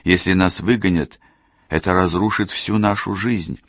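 A man's voice: deep voice, fairly even intonation, measured pace, no room sound, studio quality. A man voicing a young female detective who pleads in a strained, hesitant, apologetic way. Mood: pleading.

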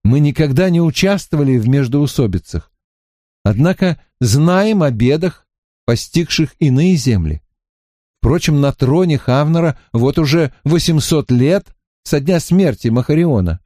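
Man reading as a young prince, dreamy, slow, mellow voice. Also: fairly high pitch, expressive, no echo, clean studio sound. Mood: measured. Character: dreamy young male prince, calm and thoughtful.

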